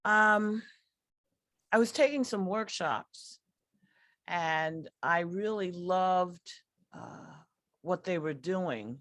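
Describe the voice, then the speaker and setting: A woman, a workshop teacher, speaking with hesitant pauses. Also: on the low side, expressive, fairly bright tone, no echo, studio quality. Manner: hesitant, pausing. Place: online video call.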